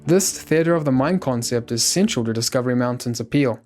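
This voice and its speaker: Mid-pitched man narrating over music. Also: fairly quick, darkish tone. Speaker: documentary narrator. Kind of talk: scripted narration.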